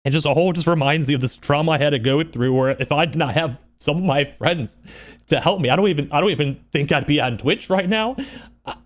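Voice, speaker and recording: An upset man with a voice a little higher than average, talking unscripted to his viewers. He sounds close to tears, speaks haltingly and often repeats words as he earnestly works through his thoughts. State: emotional, upset, close to crying. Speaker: streamer and video creator. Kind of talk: unscripted personal vlog monologue.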